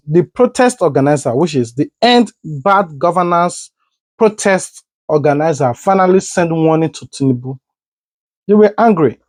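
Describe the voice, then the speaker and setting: A man's voice: a little high, a little mellow, dry recording. A man with a Nigerian accent presenting online news commentary, talking conversationally and correcting himself as he goes. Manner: conversational, repeats words, self-corrects. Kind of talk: commentary to camera.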